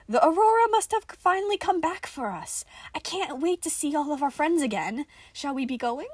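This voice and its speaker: A woman voicing a delighted, unnaturally chipper wooden toy soldier with old-fashioned gentlemanly speech in an audio story. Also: high-pitched, expressive, normal speed, dry recording, voice pushed up.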